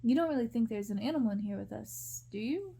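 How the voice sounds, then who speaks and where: A woman voicing a timid, adoring young noblewoman in a storybook, with a gentle, coy manner. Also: on the high side, expressive, fast, vocal fry, slight background noise. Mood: puzzled, teasing.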